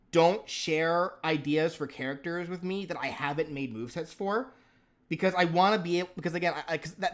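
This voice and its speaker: A man hosting a casual live stream, talking with a stutter, repeating words and restarting his sentences. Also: fairly high pitch. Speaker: stream host and moveset creator.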